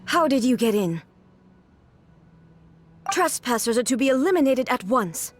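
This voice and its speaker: A woman voicing a stern, detached divine ruler in an anime-style video game, speaking formally in measured, solemn phrases. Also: fairly high pitch, sing-song, soft background music. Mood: cold, commanding.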